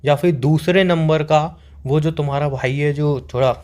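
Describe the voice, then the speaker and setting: A man's voice: on the high side, fairly even intonation, moderate pace, a little mellow. A young man playing a boyfriend on a staged couple phone call, joking and teasing.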